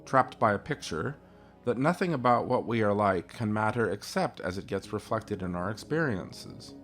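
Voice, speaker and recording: Male narrator, lowish register, measured and emphatic educational reading, light music underneath. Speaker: reader of a philosophy text. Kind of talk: educational audiobook-style reading of an essay.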